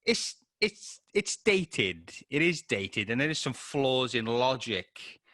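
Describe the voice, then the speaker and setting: A man's voice: medium pitch, sing-song, takes it slowly, bright, forceful tone, no echo, clean studio sound. A man in his late thirties chatting casually and a little hesitantly as he co-hosts a film review. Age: late 30s. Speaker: podcast co-host and filmmaker.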